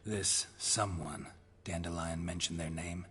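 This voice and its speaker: An actor with an American accent playing a gruff, stoic monster hunter in a video game, terse and deadpan. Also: male voice, sits low, unhurried, full character voice. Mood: dry, probing. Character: gruff, stoic monster hunter, grown man, dryly unflappable.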